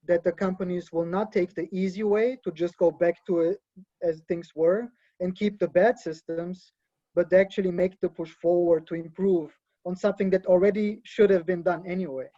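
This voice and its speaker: A man from Bulgaria speaking as a guest on an online panel over a video call, deliberate and matter-of-fact. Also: high voice, steady tone, dark tone. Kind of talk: casual panel talk. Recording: dry, dull lo-fi call audio.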